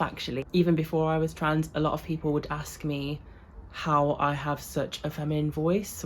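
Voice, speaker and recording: Chatty young woman vlogger. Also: sits low. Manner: chatty and loose, lots of fillers and asides. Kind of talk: casual chat to camera.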